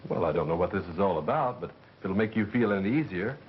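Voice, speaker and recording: An easygoing, slightly bewildered fellow in an old mystery picture. His low voice moves about a lot in pitch. The sound is muffled. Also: expressive, fast talker. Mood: puzzled, offhand. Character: casual, puzzled brother of the heroine. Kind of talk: 1930s mystery film acting. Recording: muffled, hissy 1930s film soundtrack.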